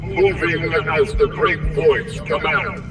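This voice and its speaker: An actor playing a literal-minded, dutiful robot guardian in a video game, speaking in clipped, formal, procedural reports. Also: male voice, a little high, steady tone, slow. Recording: echoey reverb, distant, loud music behind.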